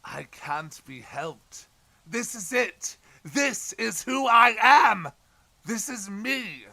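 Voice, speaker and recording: Unhinged clown villain guy, super slow n creaky, voice breaking, theatrical. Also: medium pitch, lively intonation, bright, forceful tone, heavily acted. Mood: anguished pleading. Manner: theatrical, halting, swinging between laughter and anguish. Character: unhinged, broken, tearful male clown villain. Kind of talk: fan audio drama acting.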